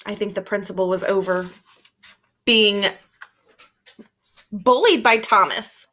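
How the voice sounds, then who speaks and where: A woman reading a children's storybook aloud as a teacher, expressive and emphatic, explaining things to young listeners. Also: medium pitch, slow pace.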